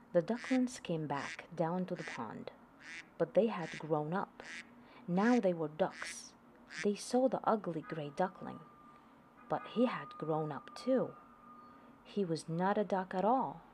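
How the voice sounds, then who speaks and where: Female storybook reader for young kids, unhurried and deliberate, slightly low pitch, faint noise behind. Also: a little mellow. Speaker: host reading picture books to children. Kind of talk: read-aloud storybook narration.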